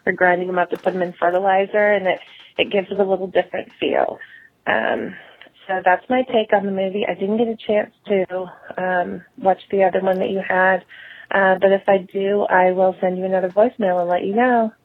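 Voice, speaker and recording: A woman leaving a casual movie review as a phone voicemail, hesitant, with halting pauses. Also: mid-range voice, fairly even intonation, no room sound. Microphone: telephone. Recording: tinny phone voicemail line.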